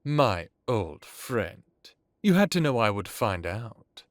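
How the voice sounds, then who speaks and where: A man acts out an ancient vampire lord in an audio drama. His low voice is courtly and measured, with theatrical pauses. He sounds wry yet commanding, recorded very dry. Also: sing-song, no room sound. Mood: knowing, pointed. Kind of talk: dramatic audio roleplay acting.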